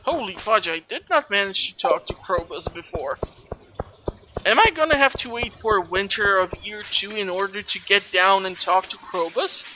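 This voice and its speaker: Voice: mid-range voice, moderate pace, slight background noise, poor audio. A person giving casual let's play commentary, chatty and rambling, with some mild swearing. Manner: chatty, rambling, mildly profane. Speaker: gaming video host. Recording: loud game music behind the voice. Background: video game music.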